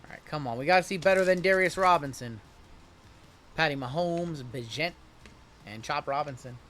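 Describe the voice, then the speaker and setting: Man, late thirties, fairly high voice, bright and forceful tone, card break host, chatty and quick to name players. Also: slight background noise. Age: 30s. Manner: chatty, rattles off player names and chats with viewers. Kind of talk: live card break stream patter.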